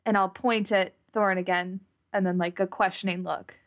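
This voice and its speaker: A woman's voice: on the low side, steady tone, moderate pace. Woman player narrating her moves. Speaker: tabletop game player. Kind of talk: casual improvised roleplay narration.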